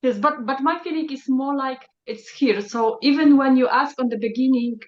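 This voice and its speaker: Halting non-native woman, echoey lo-fi call. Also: high voice. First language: not English. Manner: searching for words, halting, reflective. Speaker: session participant. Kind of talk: sharing reflections in a group call.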